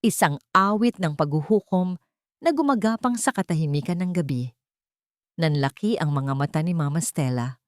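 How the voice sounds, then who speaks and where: A woman's voice: sits low, animated, normal speed, no room sound, clean studio sound. A woman reading a scripted, dramatic first-person story as an audio drama narrator.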